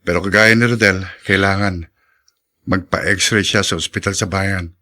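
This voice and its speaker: A fairly low-voiced Filipino village doctor, earnest and firm. He speaks in measured radio drama style with a strong sense of duty. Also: steady tone, pushed and bright. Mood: firm, composed. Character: dutiful, firm, composed male village doctor.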